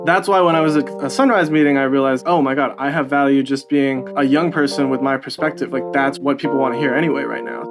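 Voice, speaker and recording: A young man speaking in an interview, fast and earnest, with lots of "like". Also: medium pitch, steady tone. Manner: fast, earnest, uses "like" a lot. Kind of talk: casual interview. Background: guitar music.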